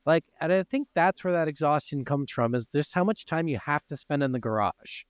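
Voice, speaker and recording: Smooth-voiced American man, dry sound. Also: on the high side, expressive, a little fast, dry recording. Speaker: podcast co-host. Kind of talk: casual gaming chat.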